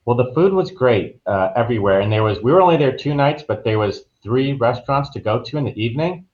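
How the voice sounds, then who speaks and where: A man's voice: mid-range voice, expressive, fast, a little mellow, dull audio. A man chatting casually as an interview guest, recounting things in an informal, easygoing way. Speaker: podcast guest, travel agent.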